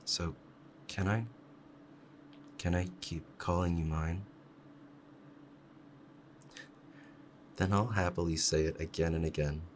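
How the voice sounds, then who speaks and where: A man playing a doting, possessive fox-spirit husband in an intimate ASMR roleplay, talking softly in a rambling, hesitant, lovestruck way. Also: low voice, fairly even intonation, normal speed, a little hiss or hum, lightly acted. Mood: tender, possessive.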